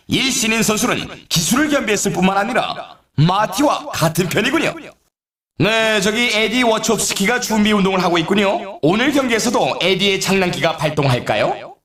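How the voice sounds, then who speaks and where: A man voicing an excitable, playful snowboard race announcer in a video game, with dramatic, showy delivery and drawn-out names. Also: sits high, a crowd in the background. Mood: excited, dramatic. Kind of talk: scripted sports commentary, event introductions. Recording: roomy echo on the voice.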